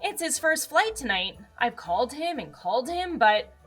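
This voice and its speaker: A woman's voice: high voice, big pitch swings, moderate pace, slightly rough, faint music behind, full character voice. A woman voicing a little old elf, Santa's anxious helper, who speaks hesitantly in a storybook. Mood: worried. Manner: hesitant, hedging.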